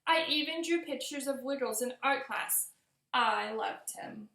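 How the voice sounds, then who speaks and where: A woman with a high, creaky voice reads a picture book aloud to young children. The delivery is expressive storytelling, directed at the listeners. The room adds a noticeable echo. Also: unhurried. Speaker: teacher reading aloud. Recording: roomy echo.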